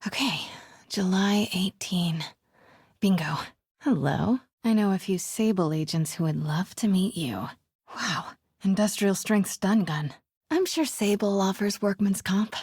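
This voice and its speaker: A young woman reporter thinks out loud as she investigates in a video game. Her mid-pitched voice is soft and breathy, often hushed, with a caring, determined temper. The sound is close and dry. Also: expressive, unhurried, no echo. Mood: determined, wry. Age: young adult. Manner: natural, musing aloud, often dropping to hushed murmurs. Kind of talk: video game exploration dialogue, musing to herself.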